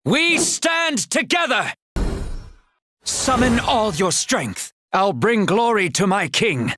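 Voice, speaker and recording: Valiant lady knight, lively. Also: medium pitch, slow pace, music in the background. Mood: proud, rallying. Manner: proud rallying battle cries. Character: valiant, honorable female knight loyal to king. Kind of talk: video game hero voice lines.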